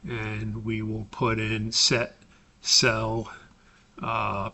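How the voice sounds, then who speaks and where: An American man giving an informal, step-by-step software tutorial as a course instructor, pausing mid-sentence as he explains. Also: lowish voice, completely flat delivery. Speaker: course instructor recording a tutorial for his class.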